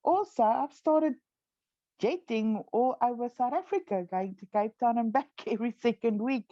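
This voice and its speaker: A woman's voice: on the high side, normal speed, no echo, lo-fi sound. An older woman chatting about her plans and laughing often. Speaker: participant.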